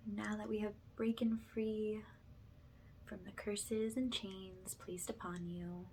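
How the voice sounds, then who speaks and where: A woman with a soft, breathy voice that often drops to a whisper, gently leading a soothing spiritual ASMR session in a reassuring way. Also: mid-range voice, flat delivery, slow, slight background noise, poor audio. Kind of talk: ASMR, soothing guided energy cleanse.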